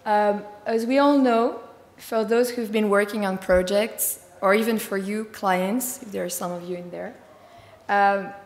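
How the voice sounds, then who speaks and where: A woman with a slightly hoarse, tiring voice giving a conference talk, fluent but with many fillers. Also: medium pitch, measured pace. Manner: fluent, filler-heavy explaining. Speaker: conference speaker. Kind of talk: conference presentation. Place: large conference hall. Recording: echoey hall with long reverb.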